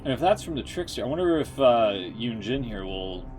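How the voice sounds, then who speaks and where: A man's voice: medium pitch, very animated, normal speed. A man giving casual, informal live commentary as a game streamer, reacting as he goes. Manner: informal, reactive, some swearing. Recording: prominent music behind voice.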